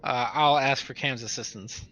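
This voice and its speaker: A man's voice: mid-range voice, moderate pace, bright, forceful tone. A man playing a tabletop roleplaying game, speaking politely and precisely as he reports his moves. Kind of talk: game chat about dice rolls.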